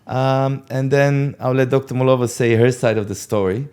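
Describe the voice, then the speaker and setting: A man in his forties, a guest on an interview podcast, telling a long story in a relaxed, rambling way with many fillers and asides. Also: medium pitch, moderate pace. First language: English. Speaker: podcast guest, company co-founder.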